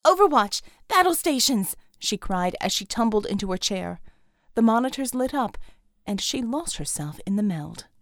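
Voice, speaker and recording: A woman's voice: mid-range voice, lively intonation, normal speed, no echo, clean studio sound. A woman reading scripted action fiction as a dramatic audiobook narrator.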